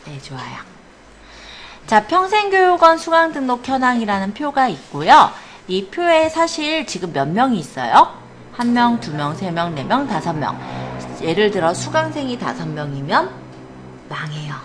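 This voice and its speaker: A woman's voice: mid-range voice, very animated, moderate pace. A woman computer instructor leading a hands-on classroom lesson step by step, often checking that students follow with short questions and encouragement. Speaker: computer academy instructor teaching a spreadsheet class. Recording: clear background noise in classroom.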